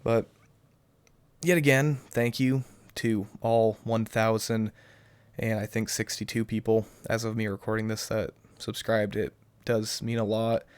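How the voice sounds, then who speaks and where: Young dude, lowish voice a bit off from the flu, rambly q&a on a cheap webcam, dry sound. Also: male voice, steady tone, a little slow, clear, resonant tone, no echo. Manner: rambling and hesitant, many restarts and ums. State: still a little off from the flu. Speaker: gaming YouTuber. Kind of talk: casual Q&A talking to camera.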